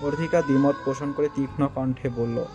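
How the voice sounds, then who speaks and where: A man's voice: medium pitch, steady tone, a little fast, dull audio, music in the background. A man reading scripted narration for a romantic audio story.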